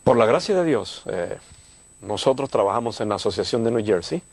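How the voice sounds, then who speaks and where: An older man from Cuba, a church leader, speaking warmly and gratefully in a television studio interview. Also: fairly low pitch, animated, a little fast, vocal fry. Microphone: lapel.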